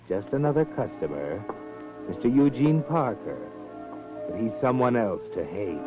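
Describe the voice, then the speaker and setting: An actor playing a brooding, knowing narrator of a crime tale in 1940s radio style, measured and literary, on a muffled old broadcast. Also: male voice, fairly low pitch, close to an everyday voice. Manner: ominous, measured, literary delivery. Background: music.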